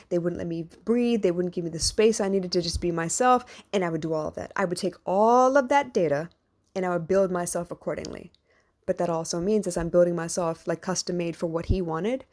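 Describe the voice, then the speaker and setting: A woman with a deep, husky voice talks through her own experiences on a solo podcast. Her pitch sits on the low side, and her delivery is earnest and reflective, loose and conversational. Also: a little mellow. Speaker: podcast host and relationship coach.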